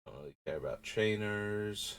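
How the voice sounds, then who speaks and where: A man's voice: fairly low pitch, expressive, normal speed, a bit bright, smooth. A man with a nasal, stuffy voice from a runny nose, thinking aloud in a rambling, casual way while livestreaming. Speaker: streamer building a card game deck. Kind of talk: casual live-stream talk.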